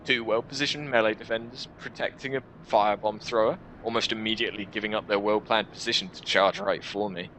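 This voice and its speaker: A man reading a game's story aloud in the first person, with an expressive storytelling delivery. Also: mid-range voice, slight background noise, rough recording. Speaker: video game let's player reading a visual novel aloud. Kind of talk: dramatic first-person narration of game text with casual asides.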